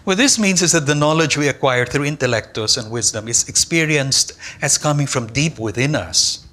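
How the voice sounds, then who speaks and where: Older male lecturer, Tagalog his first language; bright, measured voice, reads deliberately at a lectern mic in a lecture hall. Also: medium pitch. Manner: measured, deliberate reading with careful pauses. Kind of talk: academic conference lecture read from a text. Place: large lecture hall with an audience. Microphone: lectern microphone.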